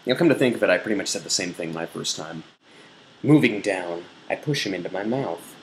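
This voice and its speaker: A man's voice: deep voice, animated, brisk. A man giving a theatrical, mock-sensual dramatic reading of an erotic novel. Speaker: blogger reading aloud. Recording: roomy echo, faint background noise.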